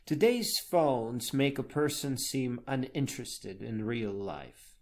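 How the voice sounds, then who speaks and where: A man's voice: mid-range voice, animated, slow pace, a little mellow. A man reading a scripted personal blog piece aloud as its narrator.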